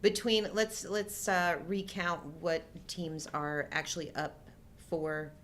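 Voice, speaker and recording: A woman's voice: on the low side, slow pace, fairly bright tone, a little hiss or hum. A middle-aged woman chatting casually as co-host of a live show. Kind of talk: casual chat.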